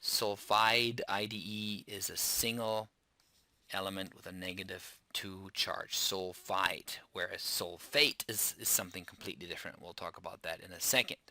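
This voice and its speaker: A man's voice: deep voice, fairly even intonation, normal speed, pushed and bright. A man with a German accent giving a chemistry lecture, explaining step by step, spelling out word endings and restarting phrases as he goes. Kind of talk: classroom lecture.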